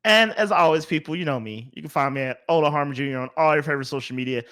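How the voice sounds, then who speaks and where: A male podcast host with a voice a little higher than average. He chats casually in a playful, slangy style with a teasing edge. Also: rapid-fire, fairly bright tone. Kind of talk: casual chat.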